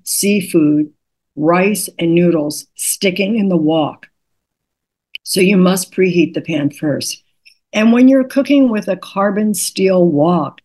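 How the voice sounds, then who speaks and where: An older woman, a cookbook author, patiently explaining cooking tips in an interview, her voice slightly raspy today. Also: fairly low pitch, darkish tone. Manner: patient, instructive, methodical.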